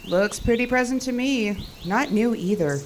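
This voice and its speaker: A woman's voice: fairly high pitch, unhurried, a little hiss or hum, natural voice. A woman playing a confident, teasing cell tower technician in an audio drama, with a dry, sarcastic, bantering delivery. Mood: wry, sarcastic.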